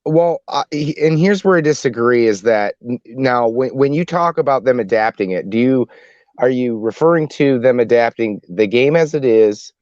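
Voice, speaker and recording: A man on a podcast panel sharing opinions casually in a halting, rambling way, often rephrasing himself. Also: mid-range voice, expressive, a little mellow, no echo. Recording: dull lo-fi dry voice track.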